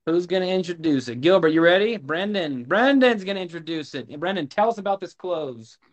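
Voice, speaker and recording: A man coaching a sales team on a video call, pushy and animated as he drills closing lines. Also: sits high, fairly quick, muffled recording.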